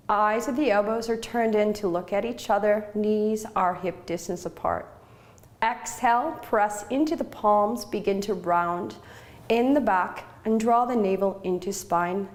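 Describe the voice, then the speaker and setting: A woman yoga instructor leading a guided relaxation in short, measured, soothing phrases with pauses between them. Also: mid-range voice, a little mellow.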